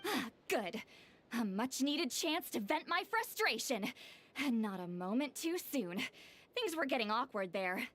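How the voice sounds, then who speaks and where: A woman's voice: on the high side, very animated, unhurried, a bit bright, heavily acted. An actress playing a proud, brash young female warrior in an anime-style video game, with a haughty, boastful manner. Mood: smug. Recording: game music behind the voice. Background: video game music.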